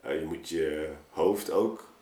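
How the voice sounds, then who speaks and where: Male podcast guest, low register, halting and informal storyteller, echoing room sound. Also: warm tone. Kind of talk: casual, reflective storytelling in an interview. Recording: roomy, echoing space.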